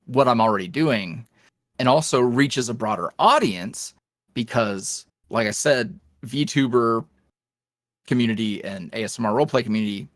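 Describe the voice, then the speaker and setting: A man's voice: medium pitch, lively intonation, moderate pace, fairly bright tone, no echo, clean studio sound. A man talking casually as a podcast guest, rambling and self-deprecating, with lots of fillers. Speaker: podcast guest, ASMR roleplay creator. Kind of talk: casual interview chat.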